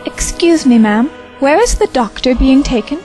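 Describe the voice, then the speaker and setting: A woman plays a polite helper in an audio drama, fretful yet reassuring. Her voice is high and very expressive, with music clearly behind. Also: normal speed. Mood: concerned, reassuring. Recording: music bed under the dialogue.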